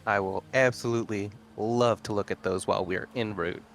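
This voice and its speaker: A man's voice: on the low side, fairly even intonation, a little fast, vocal fry, slight background noise. A man playing a tabletop roleplaying game, speaking in a conversational, slightly tentative way. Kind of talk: casual in-character roleplay chat.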